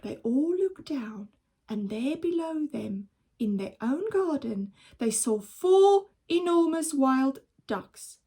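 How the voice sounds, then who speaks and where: Expressive, unhurried woman reading a children's story. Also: sits high. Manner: animated, deliberate storytelling for young children. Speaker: teacher reading a storybook aloud.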